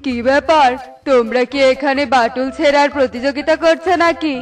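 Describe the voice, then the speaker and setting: A woman's voice: high voice, brisk, faint music behind, close to an everyday voice. A woman voicing a greedy, scheming male crow in a cartoon, with a wheedling, taunting manner. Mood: sly, insinuating. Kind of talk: cartoon character voice acting.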